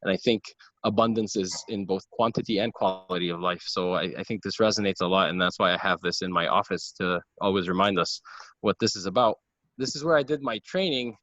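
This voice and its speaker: A man in his thirties with a very low voice gives an informal medical talk over a video call. He is earnest, and his sentences stall on ums and repeated starts. The sound is dull and dry, like a computer mic. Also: brisk, fairly bright tone, no echo. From Lebanon. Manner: earnest, frequent ums and restarts. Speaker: gynecologic oncologist giving a lecture.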